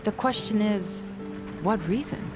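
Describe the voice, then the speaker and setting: A woman's voice: medium pitch, unhurried, music in the background, natural voice. An actress playing a composed, scholarly woman researcher in a video game, speaking in a formal, analytical way. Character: composed, scholarly female sensei who studies monsters. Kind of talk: video game cutscene voice acting.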